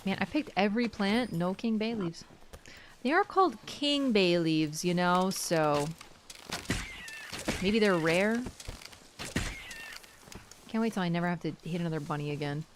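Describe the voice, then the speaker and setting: Woman, polish speaker, gaming streamer, chatty, animated, faint background noise. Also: fairly low pitch, unhurried, long pauses. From Canada. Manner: chatty, animated storytelling, talks to chat. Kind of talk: casual chat while playing.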